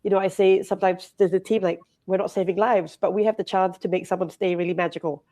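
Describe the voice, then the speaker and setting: Mellow-voiced woman guest from Malaysia. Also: medium pitch, steady tone, quick pace. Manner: chatty, frequent restarts and fillers, laughs often. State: hay fever, a bit nasal. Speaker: hotel company CEO, podcast guest. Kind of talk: casual interview conversation.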